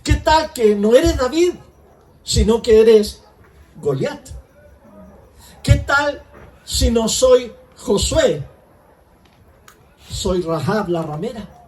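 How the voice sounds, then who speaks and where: A man's voice: very high-pitched, lively intonation, drawn-out words, long pauses, darkish tone, recorded from a distance, low-quality recording. A man preaching a conversational sermon in church, full of rhetorical questions. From Chile. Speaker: pastor.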